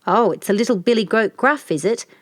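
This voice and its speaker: A woman storyteller puts on a great grey storybook troll, a menacing bully of a monster. He shouts and blusters, with pitch that swings widely, in a close, dry recording. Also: animated, brisk, no room sound, lightly acted. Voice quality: loud, booming shout.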